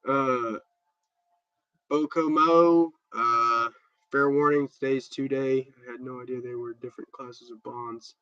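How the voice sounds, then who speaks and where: A man's voice: mid-range voice, steady tone, a little slow, pushed and bright, smooth, dry recording, lo-fi sound. A stuffed-up man hosting a casual livestream, chatting loosely and answering viewer comments with many restarts. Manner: rambling and chatty, with repeated restarts. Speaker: livestream host.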